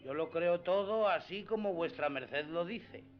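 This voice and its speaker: A simple, earthy squire, loyal but plaintive. His voice sits fairly high and sounds homely and deferential. It is a muffled radio drama recording with faint music underneath. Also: unhurried. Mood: humouring, concerned. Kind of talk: radio theatre acting.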